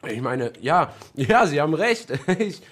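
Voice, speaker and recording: A man's voice: fairly high pitch, big pitch swings, unhurried, fairly bright tone, slightly rough, full character voice. An actor playing a nervous wanderer bluffing his way through a radio play, hesitant and stammering. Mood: nervous, evasive. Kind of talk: German radio play acting.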